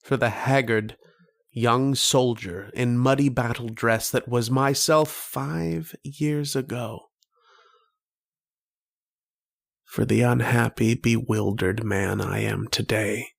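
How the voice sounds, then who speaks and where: A male actor as a haunted, wistful man recounting his dream in a radio horror play. He speaks slowly and poetically, with brooding pauses and a creaky edge, in a dry, close recording. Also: mid-range voice, fairly even intonation, no room sound. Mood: weary, bewildered. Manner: slow, poetic, brooding delivery with long pauses. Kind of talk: dramatic first-person radio horror narration.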